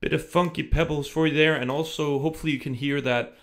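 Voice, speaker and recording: A man's voice: a little high, fairly even intonation, fast talker, a bit bright, slight background noise. A man hosting a live music stream, chatting casually and rambling, often breaking off mid-sentence, into a studio microphone with no pop filter. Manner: rambling, self-interrupting, chatty. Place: room in Germany. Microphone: studio microphone without pop filter.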